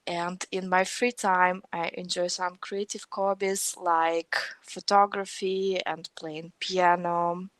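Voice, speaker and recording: A woman's voice: on the low side, level tone, slow, few pauses, dry recording. A woman in her thirties with a Russian accent, talking conversationally as an interview guest. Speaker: podcast guest, technical trainer.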